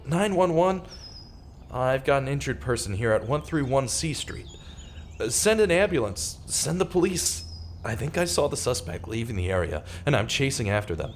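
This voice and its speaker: An actor playing a distraught, self-blaming man who narrates his own story in a halting, repetitive, dramatic way, as in an audio drama. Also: medium pitch, animated, very noisy, lightly acted. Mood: urgent. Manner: dramatic, halting, repeats himself. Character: distraught, self-blaming male radio man turned amateur pursuer. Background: music.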